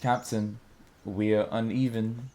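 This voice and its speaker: A man plays a reserved soldier in an audio drama. His voice is fairly low, and he speaks in short, formal phrases with a dry manner. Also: flat delivery, takes it slowly, dark tone.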